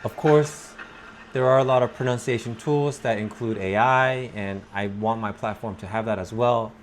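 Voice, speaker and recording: A man, from Korea, talking slowly and thoughtfully about his work as an English teacher in a personal vlog. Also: medium pitch, a little hiss or hum. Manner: slow, thoughtful, with pauses and fillers.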